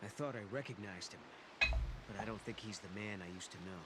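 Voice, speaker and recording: An actor voicing an earnest, troubled young hero in a video game. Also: male voice, fairly low pitch, brisk, very noisy, lightly acted. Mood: doubtful. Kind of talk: video game cutscene acting. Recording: loud game music behind.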